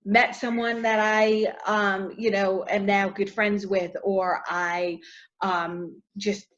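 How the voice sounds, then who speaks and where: A middle-aged actress answering interview questions in a casual, chatty way, full of fillers and often trailing off softly. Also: female voice, medium pitch, level tone, takes it slowly, muffled recording.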